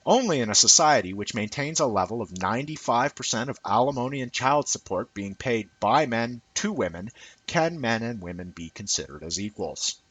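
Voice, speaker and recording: A man reading a written essay aloud in an emphatic, rhetorical manner with deliberate phrasing. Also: mid-range voice, animated, fairly bright tone, slightly rough, dry recording, rough recording. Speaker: reader of an essay. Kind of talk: scripted essay reading, audiobook-like narration.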